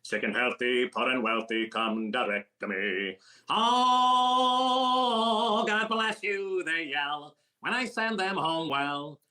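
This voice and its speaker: Guy doing a fast-talking sharp-toned quack doc, old radio comedy, deadpan jokes. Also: a little high, exaggerated voice. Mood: singing. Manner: rapid wisecracking patter, punchlines delivered deadpan. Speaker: actor playing a comedian in a radio recreation. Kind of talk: old-time radio comedy with sung patter.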